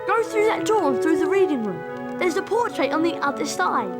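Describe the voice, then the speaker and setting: Excitable young British wizard boy for a game: very high, animated, blunt, cheeky, loud score underneath. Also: moderate pace. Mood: casual, cheeky. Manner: blunt, complaining, casual. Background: loud music.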